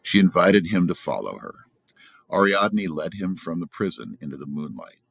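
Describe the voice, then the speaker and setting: A man's voice: deep voice, very animated, moderate pace, creaky, breathy edge, dry recording. A man reading a story aloud as a narrator. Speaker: storybook reader.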